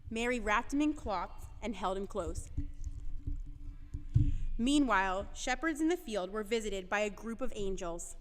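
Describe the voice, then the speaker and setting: A woman's voice: mid-range voice, animated, measured pace, fairly bright tone, long reverb tail. A woman narrating a scripted storybook for children.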